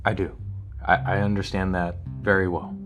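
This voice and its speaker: A man's voice: low voice, little pitch movement, normal speed, over music, lightly acted. A man playing a flustered, apologetic colleague with a crush in an audio drama, stammering and rambling nervously. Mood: apologetic, defensive. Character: flustered, apologetic, eager-to-please male department colleague with a crush.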